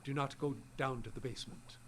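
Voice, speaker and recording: An actor playing a secretive, eccentric old scientist in an audio drama, giving clipped, imperious orders and muttering to himself. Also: male voice, mid-range voice, fairly even intonation, fairly quick, a little hiss or hum, natural voice. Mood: stern, secretive. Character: eccentric old male scientist, secretive, stern, meat-loving. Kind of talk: live audio drama acting.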